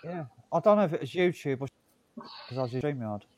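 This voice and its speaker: A man hosting a stream, chatting casually in a relaxed, matter-of-fact way. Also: on the high side, quick pace. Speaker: stream host. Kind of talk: casual chat.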